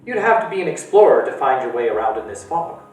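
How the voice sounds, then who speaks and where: A man's voice: mid-range voice, big pitch swings, fast, a little mellow, lightly acted. A man reading aloud voices a fussy, motherly old woman who frets and dotes. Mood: fretful. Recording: echoey room, distant mic, faint music.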